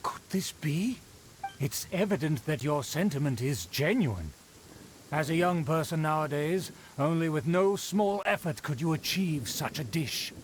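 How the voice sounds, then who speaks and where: An actor playing a haughty, condescending aristocrat in a video game, speaking in a formal, grandiose manner. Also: male voice, on the high side, lively intonation, measured pace, slight background noise. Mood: flustered, eager. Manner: formal, grandiose, condescending, flustered when slipping. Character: pompous, haughty aristocrat of a faded noble clan.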